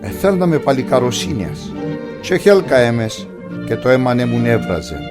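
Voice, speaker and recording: A man plays a heroic ship's leader telling his own legend for a cartoon. His voice sits at a middle pitch with a Pontic Greek accent. The delivery is solemn and epic, over music. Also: sing-song, close to an everyday voice. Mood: solemn, proud. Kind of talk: storybook cartoon narration.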